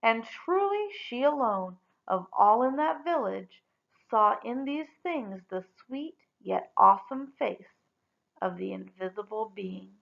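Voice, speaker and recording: A woman reading a story aloud expressively, with deliberate, dramatic emphasis on key words. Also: on the high side, expressive, gravelly, dull audio.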